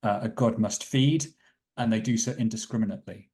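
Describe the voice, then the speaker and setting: A man's voice: fairly low pitch, a little fast, a little mellow. A man plays a tabletop roleplaying game, spinning the story aloud as he goes. His delivery is thoughtful and wordy, with a dryly theatrical streak. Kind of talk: improvised story narration at a game session.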